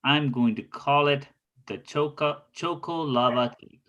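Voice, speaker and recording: A man's voice: on the high side, measured pace, fairly bright tone, highs cut off. A man playing a self-assured, quirky and playful detective in an amateur script table read. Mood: smug, breezy.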